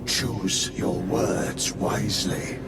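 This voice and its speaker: A grave military chaplain in a sci-fi game. He admonishes slowly and sternly, echoing from a distance under loud music. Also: deep voice, heavily acted. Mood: stern warning. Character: stern, judgmental male warrior chaplain in a sci-fi army. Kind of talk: scripted video game cutscene acting.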